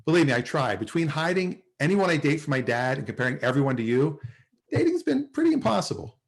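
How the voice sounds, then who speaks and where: A man's voice: a little high, very animated, fast, creaky. A man playing an awkward, lovelorn car salesman in a naturalistic sitcom table read. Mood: rueful, flustered. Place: indoors, on a video call.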